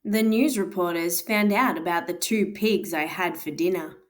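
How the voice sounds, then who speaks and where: A woman's voice: lowish voice, natural voice. A woman reading as the wronged wolf. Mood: aggrieved. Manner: storybook read-aloud, slow drawn-out words. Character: male wolf, self-pitying, claims innocence. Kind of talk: storybook narration. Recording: guitar music behind the voice.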